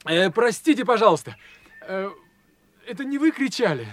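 An actor playing an eager, flustered young golf fanatic in a radio play, hesitant and muttering to himself. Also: male voice, high voice, sing-song, moderate pace. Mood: flustered, insistent. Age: young adult. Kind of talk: Russian radio play acting.

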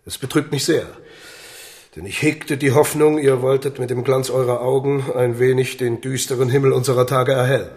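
An actor playing a vain, fickle, seductive king in a German radio drama, with courtly, smooth-tongued flattery. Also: medium pitch, moderate pace. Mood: wistful flattery.

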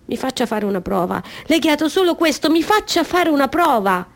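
A woman's voice: high-pitched, sing-song, brisk. An actress playing an eager, proud circus-born woman in a radio play, speaking in an insistent, conversational storytelling monologue. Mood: earnest pleading.

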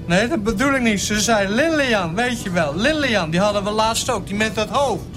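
Pompous grumbly male passenger, really high voice, radio play with music behind. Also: lively intonation, normal speed. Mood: insistent. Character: excitable, pompous, demanding male airline passenger.

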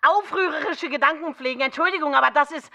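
A woman's voice: sits high, fast talker, pushed and bright. An actress playing an indignant, insistent leftist phone-in guest from East Germany in a radio play, talking over the host with music behind her. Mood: scornful.

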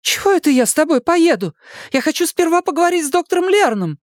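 A woman voicing a rebellious, hysterical nineteen-year-old daughter in a dramatised audiobook, shrill and indignant in her protests. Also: very animated, fast, no room sound, studio quality. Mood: indignant, defiant.

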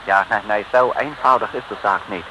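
A man's voice: fairly low pitch, a little fast, close to an everyday voice. Man playing a courteous British inspector, noisy recording. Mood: earnest, instructing. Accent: English. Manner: polite, hesitant, mixing English into Dutch. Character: courteous British male police inspector working undercover. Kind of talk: 1950s-60s radio serial acting. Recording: noisy old radio recording.

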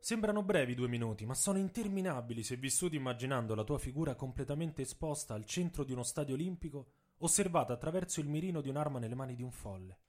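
A man narrates a scripted story in a mid-pitched voice. His delivery is intense and literary, that of a dramatic storyteller reading a prepared text. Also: lively intonation, fast, few pauses, a little mellow.

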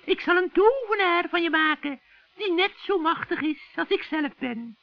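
A man voicing a scheming old witch in a children's radio play, shrill and cackling, with sugary, wheedling friendliness. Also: lively intonation, creaky, no room sound, heavily acted. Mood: persuasive, sly. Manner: wheedling, drawn-out coaxing. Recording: dull, dry vintage radio recording.

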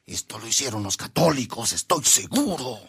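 An actor playing a grim, vengeful conspirator in a radio drama with a very husky voice. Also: male voice, mid-range voice, big pitch swings, normal speed, a bit bright, soft background music, exaggerated voice. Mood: menacing.

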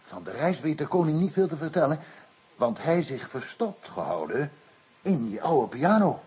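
Earnest African interpreter, very raspy, swooping pitch, solemn broken Dutch, radio drama. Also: male voice, sing-song, moderate pace, darkish tone, exaggerated voice. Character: earnest African interpreter speaking for his captive king. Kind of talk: radio play acting.

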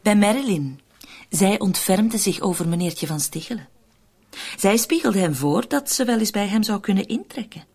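A shrewd young accomplice in a radio drama. She is husky and very animated, with a medium pitch. Her manner is flippant, teasing and self-possessed, with a cheerful, mocking edge. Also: normal speed. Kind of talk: Dutch-language radio play acting.

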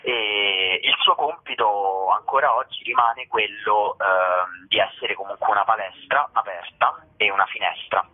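A man with a fairly deep voice speaks through a thin, phone-like line. He is a theatre curator being interviewed for radio, explaining things as a guest. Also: unhurried, hardly any pauses. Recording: narrow tinny phone line.

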